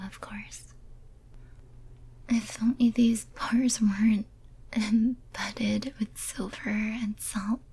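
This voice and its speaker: Casting: actress for lonely caged female demon, ASMR roleplay. Very breathy whisper, slow, tender and hesitant. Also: medium pitch, mellow, heavily acted. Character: lonely, clingy, pleading female demon sealed in a cage.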